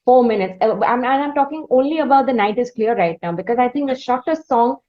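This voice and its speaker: Female podcast interviewer, Indian accent, slightly high voice, tentative roundabout questions, mildly muffled low-fidelity sound. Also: animated, brisk. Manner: hesitant, roundabout phrasing. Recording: slightly dull, lo-fi audio.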